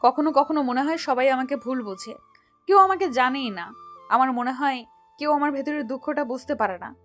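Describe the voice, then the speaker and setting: A woman acting a hesitant, sweetly vulnerable young woman in an audio story, speaking softly and questioningly. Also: high voice, lively intonation, normal speed, faint music behind. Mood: plaintive. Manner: soft, plaintive, questioning.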